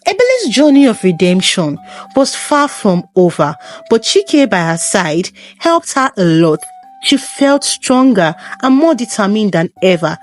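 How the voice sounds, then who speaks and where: Female narrator, scripted folktale storytelling, lively varied intonation. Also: medium pitch, unhurried, soft background music.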